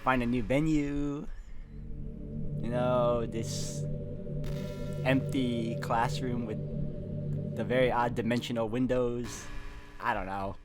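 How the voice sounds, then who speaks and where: A man hosting a visual novel playthrough, reacting casually in halting, hesitant asides. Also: medium pitch, steady tone. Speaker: gaming video host. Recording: music playing behind the voice. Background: game music.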